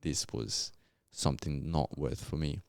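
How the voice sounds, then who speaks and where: Young colombian guy, super low smooth voice, chatty and reflective, lots of "you know". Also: steady tone, unhurried, darkish tone, no room sound, studio quality. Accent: Colombian Spanish. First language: Spanish. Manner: reflective, storytelling, frequent fillers. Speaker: podcast guest. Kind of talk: casual interview chat.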